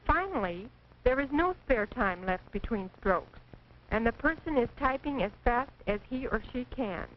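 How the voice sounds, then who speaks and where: An American woman reading scripted 1940s training film narration in a precise, measured, instructive manner. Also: a little high, animated. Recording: dull, noisy 1940s film soundtrack.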